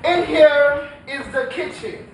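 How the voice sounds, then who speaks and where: A distant recording in an echoing theatre. a servile houseboy, his voice pitched high, slow and halting with exaggerated politeness. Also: noisy background, heavily acted. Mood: eager, servile. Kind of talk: comedic stage play acting.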